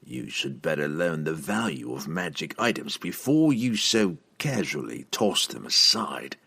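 A man voicing a cold, taunting assassin with a slow, purring, menacing delivery in a fantasy audiobook. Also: rumbling low, very animated, vocal fry, sharp tone, breathy edge, voice pushed down, heavily acted. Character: sinister, cold, taunting human male assassin.

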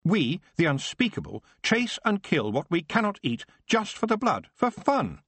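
An earnest young son of a lord in a storybook reading, his voice raised well above the reader's own and speaking in a formal, sincere way, voiced by a male narrator. The tone is slightly husky and recorded dry and close. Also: high-pitched, wildly swooping pitch, unhurried, fairly bright tone, no room sound, pitched above the performer's own voice, full character voice. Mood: pleading. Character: earnest young nobleman's son, gentle but defiant.

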